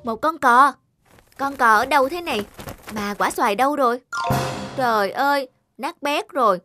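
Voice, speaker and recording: A woman voicing an eager, carefree young boy in a cartoon, with an excitable childlike manner. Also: high voice, moderate pace. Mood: excited, surprised. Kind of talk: cartoon voice acting. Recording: loud children's music behind the voice.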